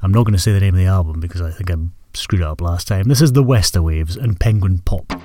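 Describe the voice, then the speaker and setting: A middle-aged Scottish man hosting a music show in casual chat, his voice a little croaky, talking in a relaxed, hesitant way. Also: low-pitched, fast, dry recording, studio quality. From Scotland. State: slightly croaky voice. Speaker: radio show host.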